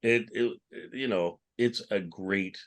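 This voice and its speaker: A man with a deep-pitched voice hosts a panel. His delivery is informal and conversational, as in a casual podcast chat. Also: slow. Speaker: podcast host.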